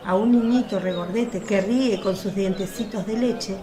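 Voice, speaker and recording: A woman's voice: medium pitch, normal speed, a crowd in the background. A woman reading scripted story narration as the narrator of a religious radio play.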